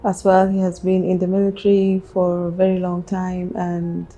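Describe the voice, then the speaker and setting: Interview guest, female, South Sudanese accent. Slightly low, soft-edged voice, halting measured phrasing, light music underneath. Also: completely flat delivery. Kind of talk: interview, personal life story.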